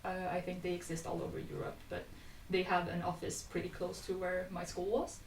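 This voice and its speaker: A woman's voice: on the low side, monotone, fairly quick. A twenty-year-old woman from Sweden, chatting casually as a podcast guest and telling stories. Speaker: podcast guest, youth volunteer. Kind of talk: casual conversational storytelling. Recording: echoey room, distant mic, faint noise.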